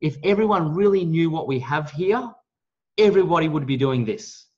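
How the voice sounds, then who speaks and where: A man giving a motivational business pitch, speaking in an emphatic, blunt, salesy way with some mild swearing. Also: lively intonation, moderate pace. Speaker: network marketing leader, guest speaker on a team call. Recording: dull, lo-fi call audio.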